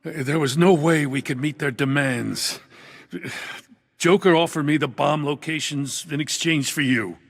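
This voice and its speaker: Husky, remorseful cop. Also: male voice, fairly high pitch, fairly bright tone, exaggerated voice. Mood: remorseful, grave. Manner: grave, earnest, halting. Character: weary, remorseful male police officer. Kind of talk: dramatic video game voice acting. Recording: long echoing reverb.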